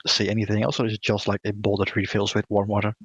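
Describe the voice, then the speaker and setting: Low, husky man in a dull recording. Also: monotone, fast, no room sound. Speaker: player in a tabletop roleplaying game. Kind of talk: casual game chat.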